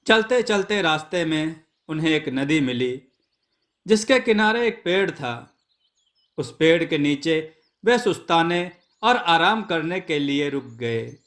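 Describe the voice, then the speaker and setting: A man telling a scripted folk tale as a storyteller, in a measured and deliberate manner. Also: fairly high pitch, a bit bright.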